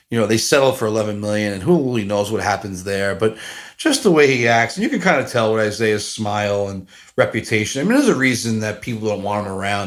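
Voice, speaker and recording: A man in his forties talking alone on a podcast, rambling and self-deprecating, with lots of fillers and restarts. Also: fairly low pitch. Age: 40s. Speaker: podcast host. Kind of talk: casual solo podcast monologue.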